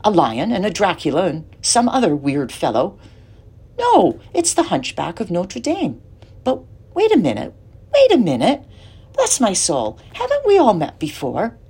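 A woman voicing a small, funny, cheerful gentleman who talks in excited exclamations. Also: medium pitch, unhurried, breathy edge, pitched above the performer's own voice, heavily acted. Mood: startled. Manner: exclaiming, bubbly.

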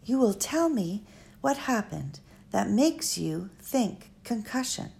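A woman's voice: mid-range voice, big pitch swings, slow pace, gravelly, a little mellow, exaggerated voice. Storybook role: eccentric elderly woman scholar, put on by a female narrator, formal and rambling, lilting delivery. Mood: musing. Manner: stilted formal phrasing, musing. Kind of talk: audiobook character reading.

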